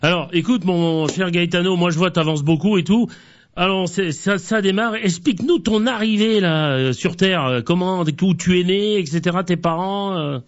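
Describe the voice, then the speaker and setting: A man hosting a radio interview, talking slowly with stumbles and repeated words. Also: high voice, muffled recording. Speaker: radio host.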